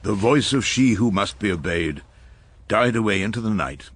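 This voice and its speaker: A man acting as an old English barrister in a radio play. His low voice moves animatedly through wry, unhurried remarks and storytelling, with faint noise behind it. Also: expressive, natural voice. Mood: wry narration. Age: older. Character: irascible, wry old male barrister narrating his cases. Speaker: barrister and narrator. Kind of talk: radio drama dialogue and first-person narration.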